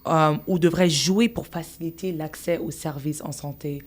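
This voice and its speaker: A woman moderates a podcast panel in a low voice. She frames her questions haltingly and keeps up a steady stream of short affirmations. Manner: backchannels often, asks questions haltingly. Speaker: podcast host.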